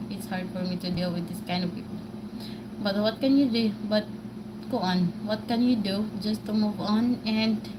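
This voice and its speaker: Rambling Filipino woman on a noisy stream. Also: mid-range voice. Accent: Filipino, Bisaya. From Philippines. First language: Bisaya. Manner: rambling, many fillers and restarts. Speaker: vlogger. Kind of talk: live-stream rant to viewers.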